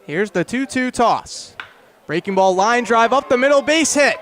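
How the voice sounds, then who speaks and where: A young man doing live radio baseball play-by-play in clipped phrases that build to excited calls. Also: very high-pitched, normal speed. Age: young adult. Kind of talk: live radio sports commentary.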